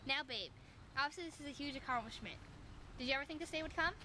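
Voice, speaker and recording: A woman's voice: high-pitched, fast talker, bright, forceful tone. A woman playing a chatty, probing 1920s sports field reporter in an amateur mock interview. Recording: noisy background.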